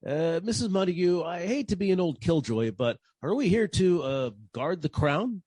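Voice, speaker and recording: An actor playing a wry, deadpan private detective narrating his caper in 1940s radio style. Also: sits high, normal speed, slightly rough, dry recording. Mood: dry, polite. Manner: deadpan, wisecracking.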